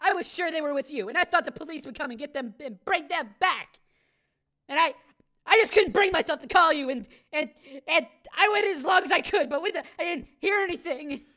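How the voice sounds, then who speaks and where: A frantic, estranged mother stumbling over her words, close to tears and quick to turn defensive, as a woman acts her out. Her voice sits high and bright. Rendered as a dramatized audiobook. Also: animated. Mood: distraught. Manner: anxious, halting, tearful.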